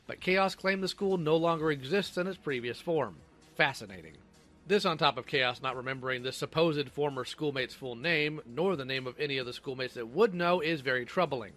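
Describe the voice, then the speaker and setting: A man's voice: fairly high pitch, sharp tone. A man's synthetic robot voice reading a scripted, sardonic narration. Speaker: video creator responding to allegations.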